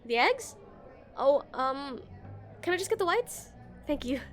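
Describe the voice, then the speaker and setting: Woman acting a high-voiced, awkward, smitten young game developer. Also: very animated, measured pace, soft background music. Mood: flustered, hesitant. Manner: nervous, stammering, hesitant. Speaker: audio roleplay performer. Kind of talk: scripted romantic roleplay, spoken to the listener.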